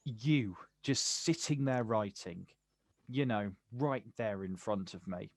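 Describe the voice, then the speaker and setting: An actor playing a bitter, protective older brother, confrontational and incredulous, in a naturalistic stage drama. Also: male voice, on the low side, takes it slowly, no room sound, clean studio sound. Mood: subdued, reflective. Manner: confrontational, incredulous, clipped questions.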